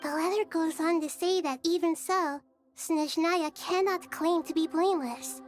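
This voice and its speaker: A high, girlish voice of a wise and gentle young deity for a video game. She speaks in composed, measured phrases, formal yet playful. Faint music plays behind her. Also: steady tone. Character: gentle wise childlike female deity and ruler.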